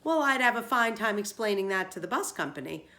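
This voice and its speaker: A woman's voice: mid-range voice, animated, fairly quick, bright, forceful tone. A skeptical bus conductor from a storybook, voiced by a woman reader. He teases in a wry, incredulous way, with lightly dramatized emphasis.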